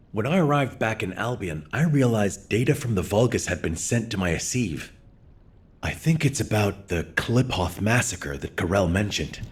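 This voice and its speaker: An actor playing a weary, conflicted resistance commander in a video game, somber and reflective. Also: male voice, on the low side, husky.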